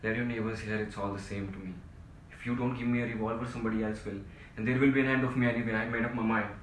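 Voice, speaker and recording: A man's voice: fairly low pitch, little pitch movement, fast, close to an everyday voice. Man acting a desperate family man, echoey, distant. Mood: agitated. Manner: pleading, melodramatic, swelling into ranting. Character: desperate, exhausted, self-pitying family man and civil servant. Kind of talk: theatrical stage-play dialogue. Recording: echoey room, distant mic, loud noise.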